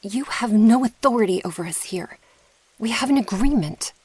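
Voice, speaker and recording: A woman playing an earnest, protective young mage girl in a fantasy ASMR roleplay, with a soft, breathy voice. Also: on the high side, animated, moderate pace. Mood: defiant, pleading.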